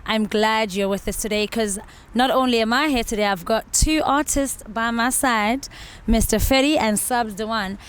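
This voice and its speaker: Clear-voiced woman hosting an interview. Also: fairly high pitch, normal speed. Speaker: interview host. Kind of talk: casual interview with musicians.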